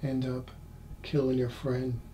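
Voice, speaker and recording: A man's voice: mid-range voice, completely flat delivery, unhurried, dark tone, slight background noise. A man in his sixties interviewing an older man in casual conversation, asking prompting questions and laughing easily. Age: 60s.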